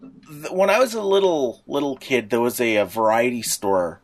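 A man's voice: medium pitch, normal speed. A middle-aged man co-hosting a podcast, chatting casually in a talkative, rambling, anecdotal way. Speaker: podcast co-host. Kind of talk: casual reminiscing chat.

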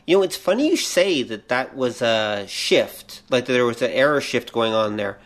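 A man's voice: medium pitch, very animated, moderate pace. A man co-hosting a podcast, talking casually about pop culture in an explanatory, analytical way. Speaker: podcast co-host.

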